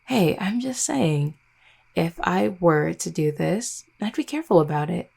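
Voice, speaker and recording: A woman's voice: lowish voice, expressive, unhurried, creaky, darkish tone, slightly rough, exaggerated voice. A woman playing an affectionate, teasing vampire girlfriend in an intimate roleplay audio, speaking in a tender, conversational way. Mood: doting.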